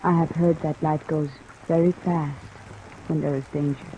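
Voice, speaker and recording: A soft-spoken, guarded heroine of a 1950s radio drama. She is earnest and careful, with a marked creak in her voice. The vintage recording is muffled, with clear rain noise over it. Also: sits low, little pitch movement, normal speed. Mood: guarded, wistful. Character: gentle, guarded woman with a troubled past.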